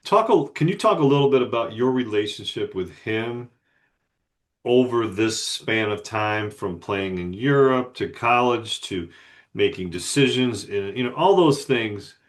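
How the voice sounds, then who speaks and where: A man with a fairly low-pitched voice co-hosts an interview podcast. His delivery is casual and conversational as he talks with the guest. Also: animated, measured pace. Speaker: podcast co-host.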